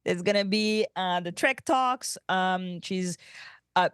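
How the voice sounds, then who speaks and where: A woman co-host gives casual announcements in a smooth, clear voice. She speaks slowly and haltingly, feeling for words, in a dry, close recording. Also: lowish voice, a bit bright, no room sound. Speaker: podcast co-host. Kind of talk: casual chat, giving announcements.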